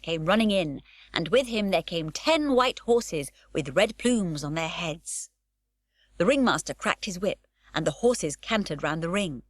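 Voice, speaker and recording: A woman narrating an unabridged children's audiobook, with expressive, varied storytelling. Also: medium pitch, no room sound, studio quality.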